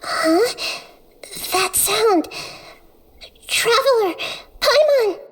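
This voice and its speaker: A woman voicing a gentle, earnest child goddess in a video game, with a small, soft voice. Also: very high-pitched, animated, drawn-out words, heavily acted. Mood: alarmed.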